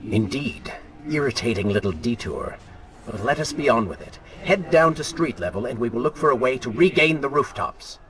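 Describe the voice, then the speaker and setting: The dark inner voice of a video game prince, performed by a man. It is sardonic and commanding, with mocking, lively swings over a middle pitch. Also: moderate pace, a crowd in the background, close to an everyday voice. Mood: sardonic, impatient. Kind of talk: video game cutscene acting.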